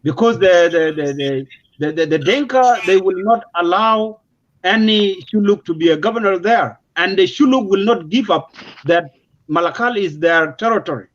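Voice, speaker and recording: A man's voice: sits high, normal speed. A man with a South Sudanese accent giving an unscripted, emphatic explanation in a political discussion, often repeating his words. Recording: dull, lo-fi audio.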